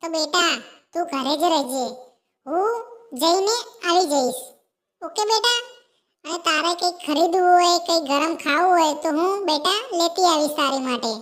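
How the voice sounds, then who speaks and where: Someone voicing a very high-pitched doting mother sparrow. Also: normal speed, a bit bright. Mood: worried, coaxing. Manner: coaxing, repetitive, keeps addressing the child fondly. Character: doting, worried mother sparrow in a children's moral tale. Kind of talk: animated children's story dialogue.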